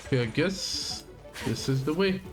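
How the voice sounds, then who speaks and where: A man's voice: on the high side, lively intonation, normal speed. A man doing casual live commentary as a game streamer, muttering reactions as he plays. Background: game music.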